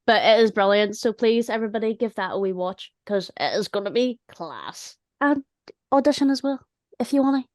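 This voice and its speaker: A woman's voice: fairly high pitch, expressive, brisk, darkish tone, dry recording, studio quality. A woman chatting casually and enthusiastically in a colloquial way, as a creator on a panel. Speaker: audio drama creator on a panel. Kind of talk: casual chat.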